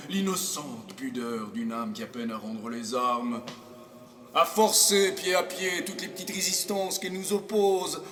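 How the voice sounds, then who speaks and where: An actor playing a cynical, swaggering aristocratic seducer in a classical French theatre monologue, grandiloquent and swooping between loud boasts and hushed asides. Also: male voice, fairly high pitch. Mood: seductive, savouring. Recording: echoey, reverberant hall.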